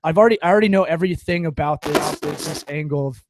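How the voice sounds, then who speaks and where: Higher-voiced man rambling on a podcast. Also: fast, background noise. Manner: rambling, swearing, many restarts. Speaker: podcast host. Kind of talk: casual chat.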